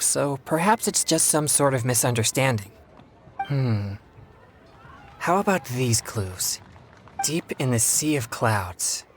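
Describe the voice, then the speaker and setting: A man's voice: mid-range voice, natural voice. Man voicing an earnest young exorcist, noisy game audio. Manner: formal, courteous, measured phrasing. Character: earnest, polite young male exorcist hunting evil spirits. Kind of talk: scripted video game dialogue.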